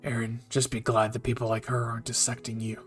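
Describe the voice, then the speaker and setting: A man's voice: medium pitch, completely flat delivery, a little fast, husky, faint music behind, lightly acted. A man voicing a stern, blunt male captain who speaks curtly and dryly. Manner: curt and dry.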